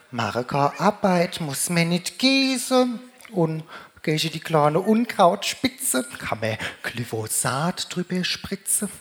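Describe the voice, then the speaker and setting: A woman with a Rhine-Hessian German accent delivering a humorous carnival speech in dialect, declaimed in sing-song rhyming couplets. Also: low-pitched. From Germany, Rheingau region. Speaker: carnival speaker.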